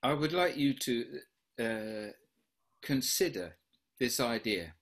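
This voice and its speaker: A man in his fifties leading an online question session from a room at home, speaking slowly and reflectively over a video call. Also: mid-range voice, lively intonation. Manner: slow, reflective, husky. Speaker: workshop leader. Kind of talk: online Q&A talk. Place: home room with wooden doors.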